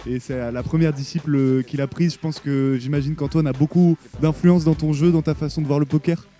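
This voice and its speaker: A man's voice: a little high, fairly even intonation, a little fast, mellow, faint music behind. A man hosting casual post-game interviews in an encouraging, congratulatory manner. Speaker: interviewer, show host.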